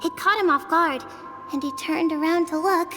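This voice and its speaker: A very high-pitched earnest child. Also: female voice, moderate pace. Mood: earnest storytelling. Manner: earnest, matter-of-fact storytelling. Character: earnest young child storyteller of a tribe. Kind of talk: video game voice acting. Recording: long reverb, music behind.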